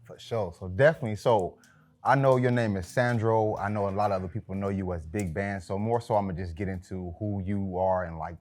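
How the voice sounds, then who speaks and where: A man hosting a podcast, asking questions in casual, slangy talk and chiming in with quick remarks. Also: fairly low pitch, fairly even intonation, darkish tone. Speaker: podcast host. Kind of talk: casual interview chat.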